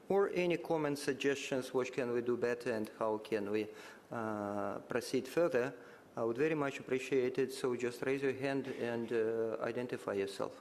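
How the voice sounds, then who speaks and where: A man whose first language is Russian, giving formal remarks in English at a conference side event, halting and measured with frequent pauses. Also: mid-range voice, a little mellow, a little hiss or hum. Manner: halting, many filler sounds and restarts, measured. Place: large conference hall. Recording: hall reverb with faint ambience.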